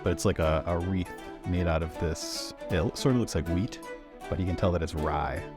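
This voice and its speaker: A man's voice: low-pitched, moderate pace, music in the background. A man playing a tabletop roleplaying game, casually chatting and describing what his character does.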